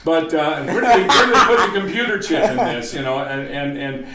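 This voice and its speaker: A man's voice: a little high, big pitch swings, a little fast, over a noisy crowd. Older man rambling through a science demo. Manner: rambling, restarts often, laughs easily. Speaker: science museum guest. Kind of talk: casual talk show demo. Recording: echoey room with loud background noise.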